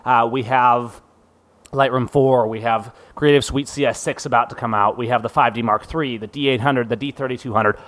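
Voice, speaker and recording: A man's voice: medium pitch, fairly even intonation, normal speed, a bit bright. A man hosting a casual photography panel podcast, upbeat and joking at his own expense. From Central Washington. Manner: upbeat, joking, self-deprecating. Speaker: podcast host. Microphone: studio podcast mic.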